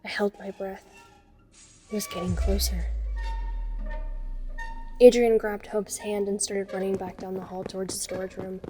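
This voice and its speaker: A woman's voice: medium pitch, level tone, moderate pace, pauses often, warm tone, soft background music, natural voice. A woman playing a frightened young woman, narrating a scripted first-person horror story. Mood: suspenseful. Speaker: voice actor narrating a fan audio drama.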